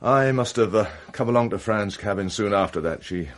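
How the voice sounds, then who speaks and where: An actor playing a hesitant, protective man giving his account in a halting, subdued way in a radio mystery drama. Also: fairly low pitch, close to an everyday voice. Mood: halting, defensive. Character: hesitant, protective male witness explaining himself.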